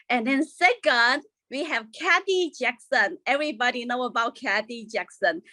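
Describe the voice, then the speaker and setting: Bright, high-voiced woman moderator. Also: dry recording. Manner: warm, welcoming, thanks people at length. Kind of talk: introducing speakers at an event.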